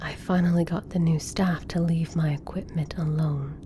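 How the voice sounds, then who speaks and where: A woman playing an exacting scientist in a roleplay audio drama, with measured, precise, formal delivery. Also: low-pitched, fairly even intonation, background noise, close to an everyday voice. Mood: irritated, stern. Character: brisk, exacting female scientist, easily irritated.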